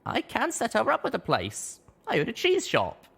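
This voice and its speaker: A man's voice: extremely high pitch, wildly swooping pitch, moderate pace, gravelly, a bit bright, slightly rough, voice pushed up, heavily acted. A man voicing a young, earnest mare pony heroine, firm yet girlish, in an audiobook. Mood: agreeable. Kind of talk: audiobook character acting.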